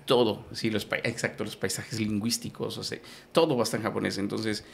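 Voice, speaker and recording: Gravelly low-voiced Yucatecan man. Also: little pitch movement, a little fast, slight background noise. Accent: Yucatecan Spanish. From Yucatán, Mexico. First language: Maya. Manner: explains patiently with many fillers and restarts. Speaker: guest. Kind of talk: conversational interview.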